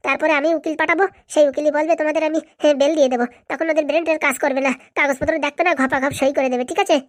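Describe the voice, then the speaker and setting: A woman's voice: sits high, steady tone, very fast, no echo, natural voice. A woman voicing a bossy, boastful, scheming female power broker in a cartoon, brash and commanding. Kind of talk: amateur cartoon voice acting.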